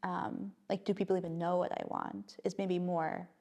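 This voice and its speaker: Soft-spoken woman guest with a creaky edge, hesitant and reflective, full of fillers and restarts. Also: lowish voice, lively intonation, moderate pace. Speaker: playwright guest. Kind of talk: casual podcast conversation.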